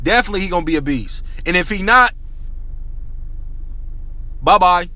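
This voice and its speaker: A high, bright-voiced man fires off a hyped basketball rant full of slang and repetition. His voice sounds worn, like he is losing it, and he keeps calling out to his viewers. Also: normal speed, rough recording. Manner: excitable, repetitive, slangy, addresses viewers as y'all. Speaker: sports talk vlogger.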